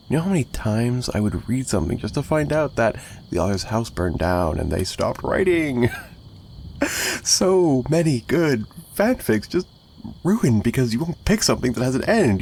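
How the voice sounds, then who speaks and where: A man playing an obsessive, lovesick mafia boss in an intimate ASMR roleplay, menacing yet pleading, with halting, repetitive speech that drifts into breathy whispers. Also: lowish voice, darkish tone, exaggerated voice. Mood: teasing.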